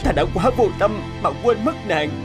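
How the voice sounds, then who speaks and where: A man's voice: high voice, animated, moderate pace. An actor playing an earnest young king in a fairy-tale cartoon, declamatory, commanding and tender. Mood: remorseful, tender. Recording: music under the voice.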